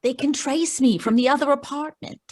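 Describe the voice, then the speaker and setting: An actress playing a sardonic, slangy American female crook in an old-time radio crime drama, quick with sarcastic wisecracks. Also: sits high, darkish tone, close to an everyday voice. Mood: irritated, wry. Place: performed from home on a video call.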